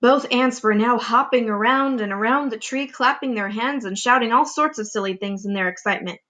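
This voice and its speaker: A woman reading a children's storybook aloud as a teacher would, with expressive, deliberate narration. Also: fairly high pitch.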